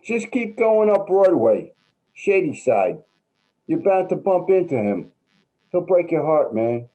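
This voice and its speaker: Man playing mellow, folksy down-and-out guy. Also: on the high side, sing-song, slow pace, highs cut off, full character voice. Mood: wry, friendly. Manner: folksy, wry, theatrical. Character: downtrodden, colorful homeless man, resigned but friendly. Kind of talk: staged reading of a play.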